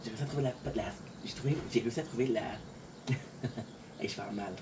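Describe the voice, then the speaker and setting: Un homme de Montréal à l'accent québécois, un peu fatigué, qui parle de façon décousue en se répétant beaucoup. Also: male voice, fairly low pitch, fast talker, noisy background. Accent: Québécois French. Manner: rambling, repeats words, frequent fillers. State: a little tired. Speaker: content creator. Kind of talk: casual talk to camera. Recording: distant voice with clear outdoor ambience.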